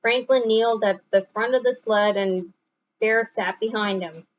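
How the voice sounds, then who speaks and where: A woman narrating a children's story aloud in an expressive storytelling manner. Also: on the high side, a little slow, muffled recording. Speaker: storybook reader.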